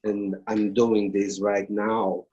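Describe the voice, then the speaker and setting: A man with a Brazilian accent and Portuguese as his first language, speaking earnestly and haltingly as an interview guest. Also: fairly low pitch, level tone, darkish tone, breathy edge, muffled recording. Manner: earnest, halting non-fluent English. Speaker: podcast guest, grief therapist. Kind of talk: interview, personal storytelling.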